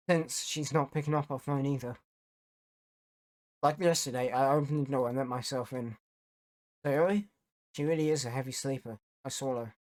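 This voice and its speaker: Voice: mid-range voice, a little mellow. A gamer doing let's play commentary and reading game text aloud, in a casual way with plenty of swearing. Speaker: gaming YouTuber. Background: video game music.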